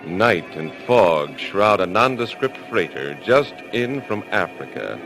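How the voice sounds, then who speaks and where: A man's voice: fairly low pitch, sing-song, slow, rough recording. A man announcing and narrating in an ominous, theatrical 1940s radio horror style. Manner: theatrical, portentous. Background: music.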